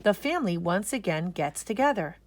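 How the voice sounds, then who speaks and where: A woman narrating a children's storybook aloud, expressive and explaining things for young listeners. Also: mid-range voice, moderate pace, dry recording.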